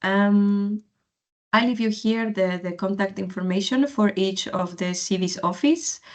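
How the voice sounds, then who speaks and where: A woman hosts an online info session over a video call. She speaks at a middle pitch, hesitant and full of fillers as she hands the floor to the next speaker. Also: measured pace. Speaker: info day organizer and moderator. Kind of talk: online presentation.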